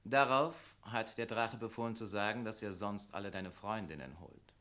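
A cold, officious henchman secretary in a radio play. His voice is fairly low with a hard, bright edge, and he delivers orders in formal, clipped phrases. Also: moderate pace. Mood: cold, menacing. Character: cold, obedient male secretary to a dragon. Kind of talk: German radio play acting.

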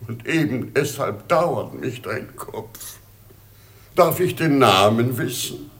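A man's voice: mid-range voice, animated, slow, a bit bright. A man playing a pitying, weary old emperor in a theatrical German radio play, plaintive and sighing. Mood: fatherly, grave.

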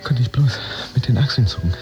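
An actor playing a sardonic employee in a radio drama, narrating layoffs like theatre in a dramatic storytelling manner. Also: male voice, mid-range voice, brisk, over music, lightly acted. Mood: agitated. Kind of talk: modern German radio drama monologue.

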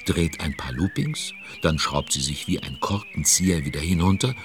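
A man's voice: deep voice, a little hiss or hum. A man playing a droll, timid, sleepy storyteller in a children's audio drama. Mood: amused. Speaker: narrator.

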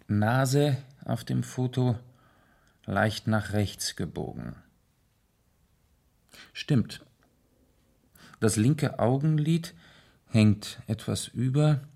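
Measured, probing gentleman sleuth. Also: medium pitch, vocal fry, heavily acted. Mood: methodical, probing. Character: calm, shrewd gentleman sleuth, a lord questioning a suspect. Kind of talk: 1970s German radio play acting.

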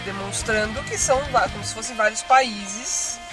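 A Brazilian woman joins a casual film podcast and drops wry, joking comparisons. Her voice is slightly high, and clear music plays underneath. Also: normal speed. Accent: Brazilian Portuguese. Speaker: podcast panelist. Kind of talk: casual film-review chat.

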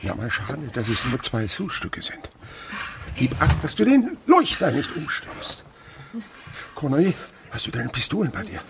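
An actor playing a stern, secretive old miserly winemaker in a radio drama, giving curt orders. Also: male voice, mid-range voice, wildly swooping pitch, unhurried, creaky, noisy background, full character voice. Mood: wary, brusque. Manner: curt, commanding.

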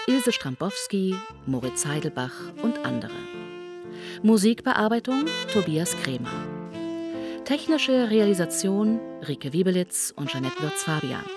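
A woman announcer reading scripted credits for a broadcast radio play. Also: medium pitch.

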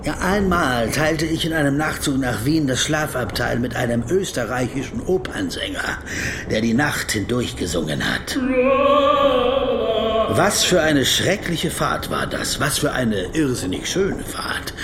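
A man's voice: mid-range voice, expressive, measured pace, noisy background. An actor playing a pedantic, weary middle-aged man who tells his story in a circumstantial, self-ironic way. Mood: earnest lecturing. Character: wordy, pedantic middle-aged male legal counsel, weary and self-doubting. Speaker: narrator and protagonist. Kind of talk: scripted literary radio drama monologue.

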